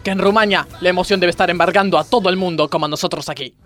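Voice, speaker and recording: A man's voice: high-pitched, steady tone, racing, fairly bright tone, faint music behind. A man acting a pompous, moralizing gymnastics coach who makes grandiose speeches in a parody radio play. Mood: solemn pride. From Argentina. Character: pompous, moralizing male gymnastics coach, scolding yet easily distracted.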